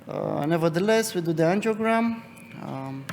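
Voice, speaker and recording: A young man from Romania with a Romanian accent, a cardiologist presenting a case at a medical conference in a halting, hesitant way. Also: fairly high pitch, animated, creaky, darkish tone. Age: young adult. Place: conference hall. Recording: reverberant hall, noticeable background noise.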